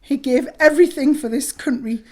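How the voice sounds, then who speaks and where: A woman playing a stern, haughty middle-aged matron in an audio drama, sharp, scornful and commanding. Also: sits high, a little fast, natural voice. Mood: grieving fury. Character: stern, haughty Edwardian matron of a care home.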